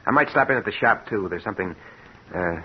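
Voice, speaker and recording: An actor playing an urgent, devoted working-class husband in 1950s radio drama style, on a muffled, hissy old recording. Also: male voice, fairly low pitch, animated, fast, close to an everyday voice. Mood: falsely reassuring. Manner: urgent, insistent, colloquial.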